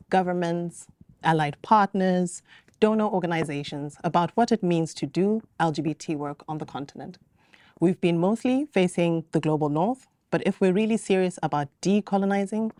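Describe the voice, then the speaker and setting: Measured woman moderating a panel. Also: lowish voice, warm tone, no echo. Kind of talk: panel introductions. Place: conference venue.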